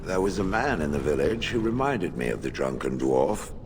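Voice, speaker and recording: Deep, deadpan stern warrior. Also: male voice, normal speed, noisy background. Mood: deadpan disdain. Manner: deadpan, terse. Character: stern, disdainful, dry-witted foreign warrior. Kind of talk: video game voice acting. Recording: music behind.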